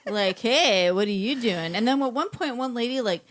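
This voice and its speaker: An American woman co-hosting a podcast, telling casual anecdotes in an animated, conversational manner. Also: fairly high pitch, normal speed. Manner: animated storyteller, quotes people in anecdotes. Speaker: podcast co-host. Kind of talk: casual chat.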